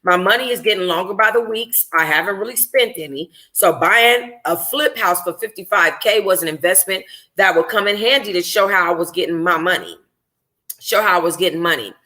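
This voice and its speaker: A woman reading a first-person novel aloud informally as a book club host, in an expressive, conversational, slangy way. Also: lowish voice, brisk.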